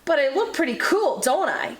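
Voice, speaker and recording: A woman doing an excited high-pitched boy leaf. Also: moderate pace, reverberant. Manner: excited, exclaiming. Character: chatty gold-turning male maple leaf.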